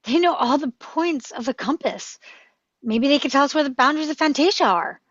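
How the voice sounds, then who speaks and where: Woman as a high-pitched, eager boy hero. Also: expressive, measured pace, exaggerated voice. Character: brave, eager, determined boy hero on dragonback. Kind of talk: script table read. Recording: dull, roomy, boxy sound.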